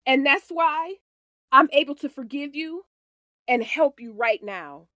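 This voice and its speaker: A woman playing a hurt, fierce ex-wife and lawyer with steadfast faith in an audio drama, speaking emphatically and deliberately. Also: sits high, expressive, sharp tone, dry recording, clean studio sound, exaggerated voice. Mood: anguished, indignant.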